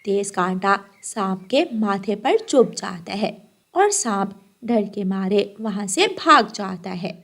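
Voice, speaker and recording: A woman's voice: medium pitch, expressive, slow pace, a bit bright. A woman narrating a scripted children's cartoon story.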